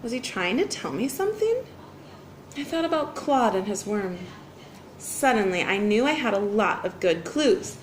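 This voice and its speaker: A woman teacher reading a children's storybook aloud with expressive, deliberate phrasing. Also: medium pitch. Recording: roomy echo, faint background noise.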